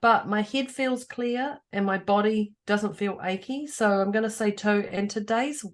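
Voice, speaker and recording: Female podcast co-host, husky with a cold, playful and quick to laugh. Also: medium pitch. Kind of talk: casual chat.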